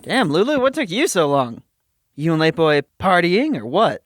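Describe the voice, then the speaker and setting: A woman acting in an audio drama as a brash, mouthy convict, crude and excitable. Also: fairly low pitch, normal speed, slight background noise. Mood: mocking.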